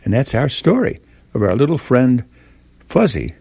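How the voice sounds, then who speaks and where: A man's voice: mid-range voice, very animated, moderate pace, vocal fry, a little mellow, breathy edge, no echo. An older man tells a simple bedtime story informally, as if speaking to a young child. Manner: simple, repetitive, asks the child listener questions.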